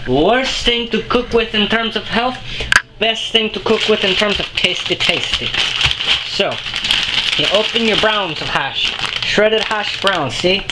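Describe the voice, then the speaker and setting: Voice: mid-range voice, roomy sound, heavy background noise, natural voice. A comedian playing a clumsy, boastful fake French chef on a comic cooking show, using a put-on French accent that slips into Australian or British, with broken English and crude swearing. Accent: put-on French, drifting to Australian or British. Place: home kitchen.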